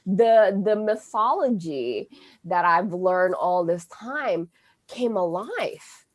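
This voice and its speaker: Slow, thoughtful woman astrologer. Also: mid-range voice, animated. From Indonesia. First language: Indonesian. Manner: halting, many fillers and restarts. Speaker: astrologer guest. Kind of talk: conversational interview.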